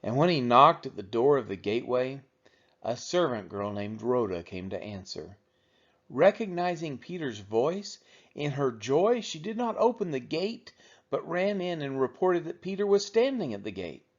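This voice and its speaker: Male scripture reader, animated intonation, mid-range pitch, narrated delivery, dull lo-fi recording. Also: moderate pace. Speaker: Bible reader for a church read-along. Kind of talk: scripture reading, narrated aloud. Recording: dull, lo-fi sound.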